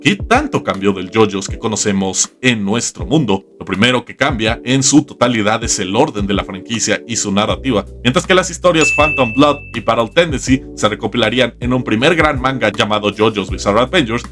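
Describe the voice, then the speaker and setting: A man's voice: mid-range voice, animated, a little fast, few pauses. Man narrating over prominent background music. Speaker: YouTube host. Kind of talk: scripted video essay narration.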